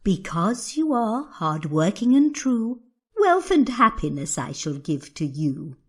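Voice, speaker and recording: A kindly, magical old storybook woman, acted by a woman, gentle and welcoming. She speaks slowly in a husky voice that rises and falls expressively. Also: mid-range voice, big pitch swings, heavily acted. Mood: approving, instructive. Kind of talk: children's fairy tale dramatisation.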